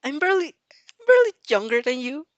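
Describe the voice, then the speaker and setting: A woman voicing an exasperated, polite but stubborn high school girl in a story reading, blunt and annoyed. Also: sing-song, fairly quick, no echo, muffled recording.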